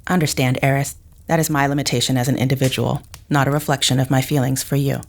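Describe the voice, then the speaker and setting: A woman's voice: a bass voice, little pitch movement, quick pace, gravelly, full character voice. A woman playing a reserved, rational psychic mother in an audio drama, speaking formally and precisely. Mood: reserved, explaining.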